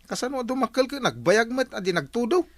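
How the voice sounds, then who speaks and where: A man plays an exasperated farmer husband in an Ilocano comedy drama. His Ilocano-accented voice is bright and forceful, swinging widely as he exclaims and frets. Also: extremely high pitch, sing-song, quick pace, heavily acted. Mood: exasperated, alarmed. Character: exasperated, anxious farmer husband scolding his indebted wife. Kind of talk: Ilocano comic drama acting.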